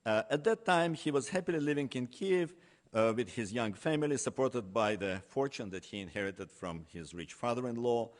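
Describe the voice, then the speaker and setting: A man's voice: medium pitch, expressive, normal speed. A man lecturing as a professor at a conference, partly reading from notes, with frequent hesitations.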